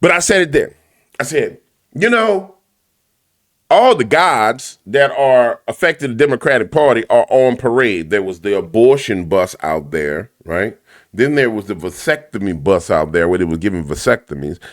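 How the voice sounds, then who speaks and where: A man hosting a commentary show, ranting loudly and emphatically with a repetitive, preacher-like cadence. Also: on the low side, lively intonation, moderate pace. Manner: loud, big voice. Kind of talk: fiery commentary rant.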